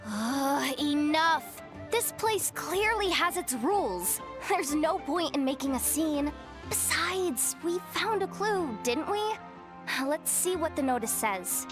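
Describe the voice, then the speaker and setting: A woman's voice: high voice, expressive, slow pace. A woman playing a level-headed, pragmatic young adventurer in a video game. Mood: exasperated. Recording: game music behind.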